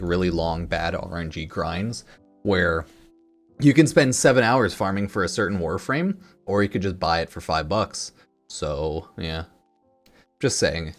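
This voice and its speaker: A man giving casual, rambling commentary as a gaming YouTuber, informal and noncommittal, with some mild swearing. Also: on the low side. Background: music in the background.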